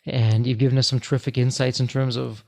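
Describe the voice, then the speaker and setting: A man's voice: mid-range voice, level tone, fast, gravelly, darkish tone. A man hosting a podcast interview who frames his questions in an upbeat, theatrical way. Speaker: podcast host.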